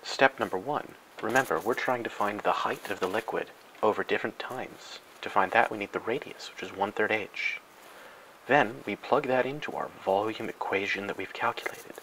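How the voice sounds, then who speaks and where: A man narrating a parody educational film in a mock-serious, grandiose and deadpan way. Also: on the low side, moderate pace, a little hiss or hum, poor audio. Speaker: narrator and host.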